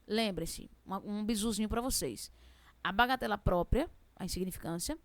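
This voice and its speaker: Young Brazilian woman teaching law, strong regional accent, fast and chatty, medium pitch, dry close mic. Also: dry recording. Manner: chatty, asks herself questions. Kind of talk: exam prep lesson.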